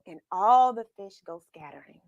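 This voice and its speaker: A woman reading a children's story aloud, expressive and dramatic, with pauses for effect. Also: medium pitch, no echo, dull audio. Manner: expressive, dramatic pauses, asks the listener questions. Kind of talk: animated read-aloud storytelling.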